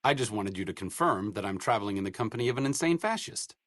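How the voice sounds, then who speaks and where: A man's voice: on the low side, animated, fast talker, fairly bright tone, dry recording. An actor playing a dry, sardonic male scientist companion in a video game. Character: wry, educated male scientist companion in a wasteland. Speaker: companion character.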